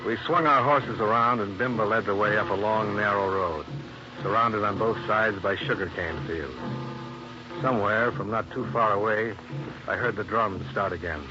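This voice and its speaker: An actor playing a wry, hardboiled American investigator, dry and wisecracking, in old radio detective drama. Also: male voice, on the low side, normal speed, over music, lightly acted. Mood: wry narrating. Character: wry, hardboiled American insurance investigator narrating his case. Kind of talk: 1950s radio detective narration and dialogue. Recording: muffled old radio recording.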